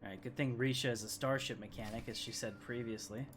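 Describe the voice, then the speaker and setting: A man's voice: mid-range voice, fairly even intonation, fast talker, background noise. A man hosting a gameplay video with casual, conversational, joking commentary. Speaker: gaming video host. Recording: clear game music behind the voice. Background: video game music.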